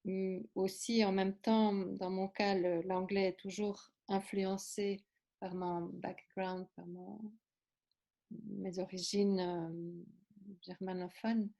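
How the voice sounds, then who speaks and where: A woman's voice: medium pitch, little pitch movement, takes it slowly, warm tone. A woman giving consecutive interpretation, hesitant with many fillers and restarts.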